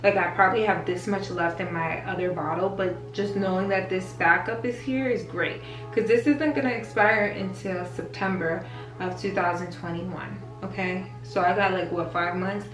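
A woman's voice: lowish voice, steady tone, moderate pace. Young woman chatting about groceries, casual and playful, roomy kitchen sound. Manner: chatty, playful, talks straight to the camera. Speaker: vlogger. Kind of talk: casual grocery haul vlog. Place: small home kitchen, indoors. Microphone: camera mic at close range. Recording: echoey kitchen with faint music.

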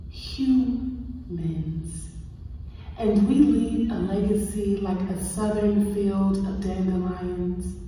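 A distant, reverberant recording of a woman reciting poetry in a theater. Her voice is soft-edged and mellow, and she moves very slowly through short, deliberate phrases, leaving weight in the pauses. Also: mid-range voice, a little hiss or hum. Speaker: poet. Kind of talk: spoken word poetry recital. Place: played over theater speakers, recorded from the audience. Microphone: phone in the audience, far from the speakers. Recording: echoey theater screening recorded from far off.

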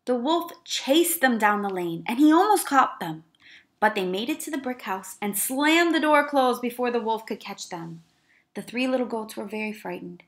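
A woman with a fairly high voice narrates a children's picture book. She reads like a teacher, expressively, placing careful emphasis on words for young listeners. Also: lively intonation, moderate pace. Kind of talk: children's read-aloud narration.

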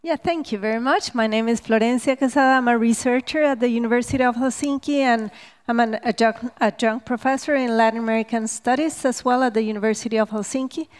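Woman on a panel, slightly high smooth voice, lots of uhs. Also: steady tone, fast. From Costa Rica. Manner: hesitant, many "uh" fillers, gestures while speaking. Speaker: panel guest, researcher. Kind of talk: panel discussion answer. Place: stage at a public talk event, indoors. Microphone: headset mic.

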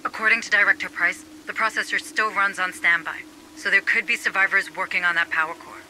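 High-voiced, businesslike tech officer. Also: male voice, fairly even intonation, fairly quick, sharp tone, poor audio. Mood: serious, cautioning. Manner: informative, matter-of-fact. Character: serious, businesslike male tech officer advising marines. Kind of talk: video game voice acting. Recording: faint background noise.

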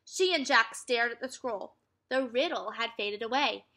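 A young woman reads a children's storybook in a high, very lively voice. Her tone is bright and crisp-edged, and she narrates expressively, phrase by phrase, for young listeners. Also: unhurried. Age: young adult. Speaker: teacher reading aloud to second graders. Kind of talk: children's storybook read-aloud. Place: furnished room at home, indoors.

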